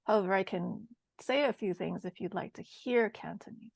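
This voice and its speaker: Woman from Taiwan, American-Mandarin accent, halting casual speaker with some gravel, close dry mic. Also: mid-range voice, darkish tone, no echo. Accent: American English with a Mandarin tinge. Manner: hesitant, many ums and self-corrections. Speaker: course participant. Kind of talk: casual group chat on a video call.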